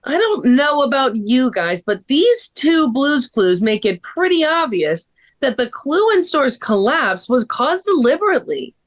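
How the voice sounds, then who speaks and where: A woman's voice: high voice, very animated, unhurried. A woman playing an earnest, take-charge amateur sleuth in a scripted table read. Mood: earnest, urgent.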